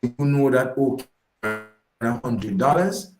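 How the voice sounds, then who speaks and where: Man, Jamaican accent, mid-pitched, emphatic explanatory guest, repeats for stress, echoey roomy sound. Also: expressive, slow pace, darkish tone, faint music behind. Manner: emphatic, repetitive, didactic, checking with "right?". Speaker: guest explaining personal finance. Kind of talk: conversational explanatory radio talk.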